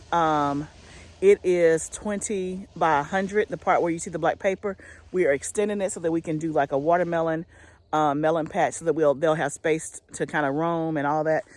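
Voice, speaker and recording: A woman's voice: deep voice, a little mellow. A middle-aged woman vlogging to camera from a farm field, chatting casually and calling her viewers y'all, holding her phone at arm's length. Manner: chatty, keeps addressing viewers as y'all, frequent restarts. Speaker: homestead vlogger. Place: outdoors on a farm field by a garden fence. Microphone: phone held at arm's length.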